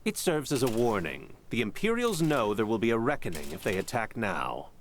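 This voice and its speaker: A man's voice: fairly low pitch, big pitch swings, moderate pace, a little hiss or hum. A man playing a stern, cautious royal official in a video game, speaking in a formal, guarded, courtly way. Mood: stern, skeptical. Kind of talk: scripted video game acting.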